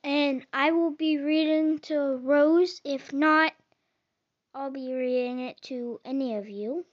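A child reads a children's story aloud in a halting way, stumbling now and then. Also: high voice, steady tone. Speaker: storybook reader. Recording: slightly dull, lo-fi sound.

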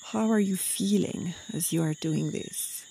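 A woman leading a guided meditation in a soft, breathy, soothing voice, with short phrases and long pauses. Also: fairly low pitch, slight background noise. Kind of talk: slow guided meditation narration.

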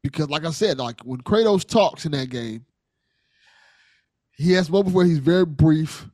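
A man's voice: a little high, expressive, moderate pace, darkish tone, no echo, clean studio sound. A man hosting a podcast in casual conversation, earnest and appreciative, often repeating things for emphasis. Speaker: podcast host.

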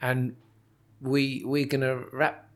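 A man hosting a podcast interview, asking questions and summing up his guest's story in a measured, encouraging way. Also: mid-range voice, monotone. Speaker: podcast host. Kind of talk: interview conversation.

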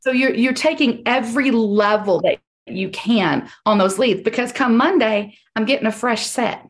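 Woman sales coach, slightly high voice, strained and hoarse, firm and repetitive. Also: normal speed. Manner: direct, emphatic, repeats key points. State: losing her voice. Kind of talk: coaching on a group call.

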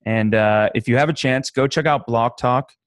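Male co-host with a Pittsburgh accent, mid-pitched, loose and profane, dry close mic. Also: moderate pace, no room sound. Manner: profane, joking and animated. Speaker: podcast co-host. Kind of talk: casual podcast banter.

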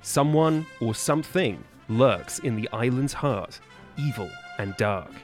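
A man's voice: medium pitch, lively intonation, measured pace, faint music behind. A man reading scripted adventure copy aloud in a dramatic storytelling style. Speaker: podcast guest reading aloud.

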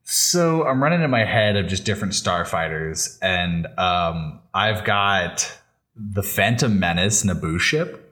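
Lower-voiced man chatting on a podcast. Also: animated, unhurried, a bit bright. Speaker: podcast co-host. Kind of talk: casual chat.

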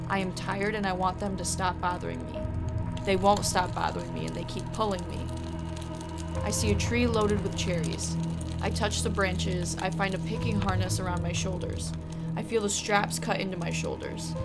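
Woman podcaster over prominent background music. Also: medium pitch. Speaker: podcast co-host. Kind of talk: casual chat and reading a scary story aloud. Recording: music under the voice.

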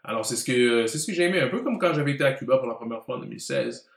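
A man's voice: mid-range voice, animated, very fast. A man in his late twenties with a Quebec French accent, chatting casually and slipping English words into his talk. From Montreal, Canada. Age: late 20s. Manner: mixes English words into speech. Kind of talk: casual conversational chat. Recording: roomy, echoey room.

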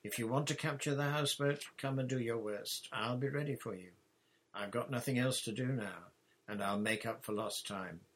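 A man voicing a gruff middle-aged retired pirate, hearty and blustering. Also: medium pitch, normal speed, fairly bright tone, low-quality recording. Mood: contrite, hearty.

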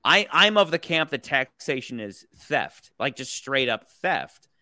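An American man holds forth in a casual movie podcast with a bright, forceful tone and a smooth, crisp voice. he rambles, swears freely and keeps circling back to restart his points, all close to the mic in a dry room. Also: mid-range voice, very animated, unhurried, dry recording. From United States. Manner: rambling, opinionated, profane, frequent restarts. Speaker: podcast host. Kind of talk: casual movie review chat.

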